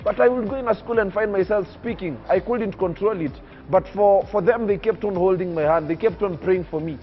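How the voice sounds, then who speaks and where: Casting: 19-year-old man from Kenya, high voice, preacher-like cadence, dull TV sound with faint music. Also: brisk. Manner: oratorical, emphatic, preacher-like cadence. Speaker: young public speaker interviewed on TV. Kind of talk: earnest personal storytelling.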